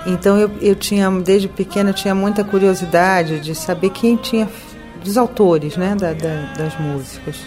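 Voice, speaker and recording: A woman's voice: mid-range voice, normal speed, darkish tone, soft background music. A woman, reflectively recalling her past in an interview, with restarts. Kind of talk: recollecting in an interview.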